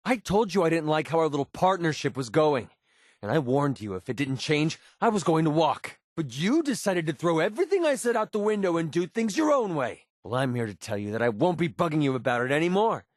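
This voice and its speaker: An actor playing a young, cynical but sentimental mercenary in a video game, talking in a casual, wry way. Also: male voice, a little high, animated, brisk, no echo, clean studio sound, natural voice. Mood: angry, frustrated. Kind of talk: video game companion voice lines.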